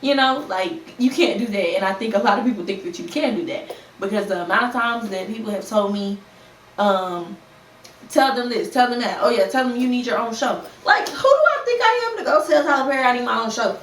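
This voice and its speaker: A woman's voice: a little high, brisk. Young woman chatting, roomy and distant. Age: 20s. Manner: candid and conversational, with lively put-on quotes and slang. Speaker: podcast host. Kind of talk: casual solo podcast talk. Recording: roomy, a bit distant from the mic, faint ambient noise.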